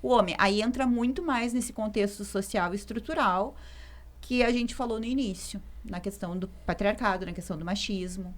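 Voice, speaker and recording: A woman's voice: mid-range voice, normal speed. Woman psychologist, discursive. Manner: thoughtful, many fillers like "né" and "assim". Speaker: psychologist guest. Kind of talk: podcast discussion.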